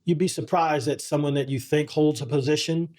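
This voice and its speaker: A middle-aged man, a podcast guest, telling personal stories in an earnest, measured way with frequent restarts. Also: a little high, fairly even intonation, a little mellow. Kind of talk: reflective conversational storytelling.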